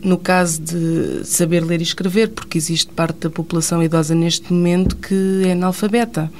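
Female radio guest, lowish voice, faint hum behind. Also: fairly even intonation, normal speed, a little mellow. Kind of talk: radio talk show conversation.

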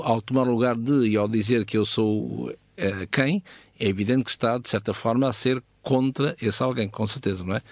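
Halting European Portuguese man, dry close sound. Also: mid-range voice, no room sound. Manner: halting, with pauses and rhetorical check questions. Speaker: Bible teacher. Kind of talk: conversational Bible exposition with scripture reading.

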